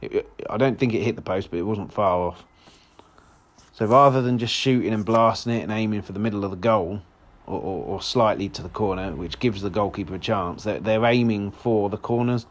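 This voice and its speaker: A man with a hoarse voice, hosting a solo football podcast in a rambling, casual way with lots of restarts. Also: fairly low pitch, a little fast. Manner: rambling, frequent restarts and fillers.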